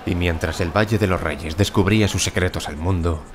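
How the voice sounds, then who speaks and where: A man's voice: deep voice, fairly quick, background noise, natural voice. An actor playing an earnest, obsessed, resolute archaeologist who also narrates his story in an audio drama. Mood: reflective narration. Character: obsessed, earnest, blunt male English archaeologist. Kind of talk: Spanish-language audio drama acting and narration.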